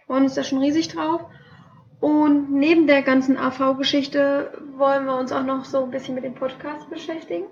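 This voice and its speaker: A woman's voice: high-pitched, steady tone, moderate pace, a little mellow, a little hiss or hum. A young woman co-hosting a casual podcast, chatty and cheerful, with plenty of fillers. German is her first language. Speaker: podcast co-host. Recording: dull lo-fi home podcast recording.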